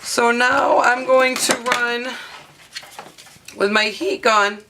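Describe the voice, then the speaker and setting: A hoarse woman in her thirties, her voice partly lost, chatting casually with many fillers as she talks through a craft tutorial at her home studio desk. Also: a little high, fairly even intonation, takes it slowly, very noisy. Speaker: craft video host. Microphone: overhead camera mic, speaker off-frame.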